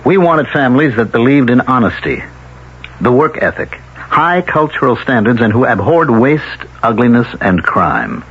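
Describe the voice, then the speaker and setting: An actor playing an elitist community elder in a 1970s radio drama, formal, measured and persuasive with quiet menace. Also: male voice, mid-range voice, very animated, slightly rough. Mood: smooth conviction. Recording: dull 1970s radio recording, faint noise.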